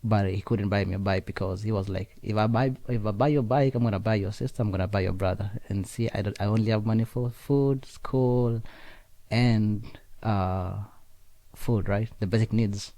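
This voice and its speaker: A man's voice: on the low side, dark tone, no room sound. A young man from Kenya whose first language is Nandi, speaking in a casual interview with many fillers and restarts. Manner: halting, frequent fillers and restarts. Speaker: interview guest.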